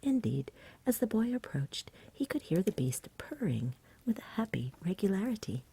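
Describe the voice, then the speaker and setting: A woman reading a story aloud in a gentle, hushed, unhurried ASMR style, mostly in a breathy whisper. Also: medium pitch, big pitch swings, a little hiss or hum. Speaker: storybook reader. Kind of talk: soft-spoken ASMR bedtime story reading.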